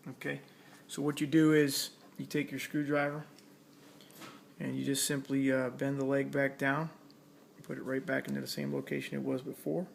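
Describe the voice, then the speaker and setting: A man's voice: medium pitch, level tone, normal speed, gravelly, slight background noise. A man giving a casual hands-on tutorial, talking plainly step by step as he works at a repair task. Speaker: electronics hobbyist demonstrating a repair.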